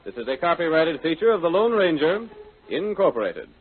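A man announcing in formal, measured, scripted style on an old-time radio broadcast. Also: a little high, very animated, narrow, tinny audio. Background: music.

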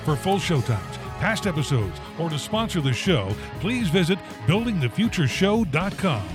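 A man's voice: a little high, normal speed. A male announcer reading a scripted, upbeat show intro. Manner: upbeat, lively announcer delivery. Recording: music bed under voice.

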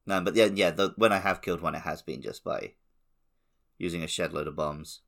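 A man's voice: sits low, fairly quick, vocal fry, fairly bright tone. A man co-hosting a podcast, talking casually about a video game. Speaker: podcast host.

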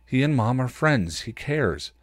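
A man's voice: mid-range voice, big pitch swings, a little slow, no room sound, clean studio sound, pitched above the performer's own voice. A man reading the part of a worried young woman, firm and impatient, in a storybook narration.

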